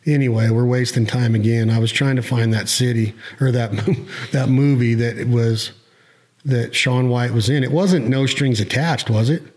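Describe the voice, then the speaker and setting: Podcast host dude bantering loose, jokey, kinda unsure of himself. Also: male voice, medium pitch, fairly even intonation, normal speed, darkish tone. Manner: joking, self-doubting banter. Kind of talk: casual podcast chat.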